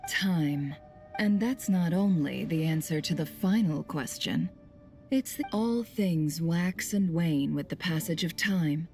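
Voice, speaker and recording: A woman playing a composed, enigmatic wise figure in a video game, with a measured and formal delivery. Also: low-pitched, darkish tone, soft background music. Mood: grave, measured.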